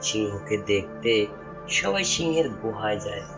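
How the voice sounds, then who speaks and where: A man's voice: lowish voice, sing-song, normal speed, music in the background. A man narrating a scripted animal story for a cartoon.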